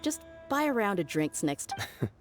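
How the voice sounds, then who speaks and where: A woman's voice: medium pitch, big pitch swings, normal speed, close to an everyday voice. A woman voicing a confident, easygoing young mercenary in a video game, with a casual, teasing manner. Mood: teasing, relaxed. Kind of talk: video game character dialogue. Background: music.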